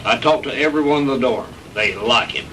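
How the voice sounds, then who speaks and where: An actor playing a matter-of-fact investigator giving a report in a 1960s B-movie. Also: male voice, medium pitch, a little fast, pushed and bright, lightly acted. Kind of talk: 1960s B-movie dialogue acting. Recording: distant, noisy 1960s film soundtrack.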